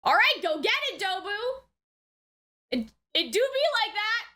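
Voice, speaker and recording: Casting: female reaction host, very high bright voice, unhurried pace, informal and expressive. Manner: informal, slangy, many short exclamations and repeated words; emotionally expressive, reacts out loud. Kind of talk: casual, unscripted reaction commentary to an anime episode.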